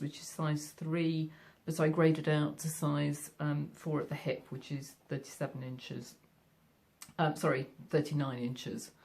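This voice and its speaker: Yorkshire lady chatting about sewing, gravelly low voice, lots of ums. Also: a little mellow. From Yorkshire, England. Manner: chatty, friendly, many fillers. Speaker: sewing vlogger. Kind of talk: casual chat to camera. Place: home sewing room.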